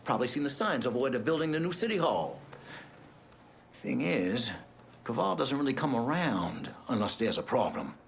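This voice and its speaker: A nervous male office clerk in a crime game, his pitch moving up and down a lot over faint noise. He sounds guilty and hesitant, pleading his case. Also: very animated, moderate pace, gravelly. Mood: ashamed, anxious. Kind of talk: video game dialogue.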